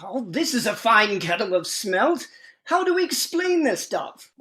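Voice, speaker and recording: A man's voice: sits high, sing-song, measured pace, sharp tone, heavily acted. A man playing a genteel, droll and fussy sidekick with arch, florid phrasing in an old-time radio drama. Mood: flustered, wry. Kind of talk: 1940s radio comedy-drama acting.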